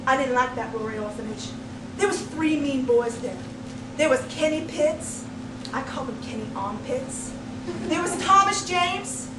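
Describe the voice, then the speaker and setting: A woman telling a comic tall tale as a speech contestant, theatrical and emphatic, with folksy phrasing and dramatic pauses. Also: high-pitched. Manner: folksy storytelling turns of phrase. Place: indoor speech contest room, distant microphone. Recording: roomy, noisy live recording from far off.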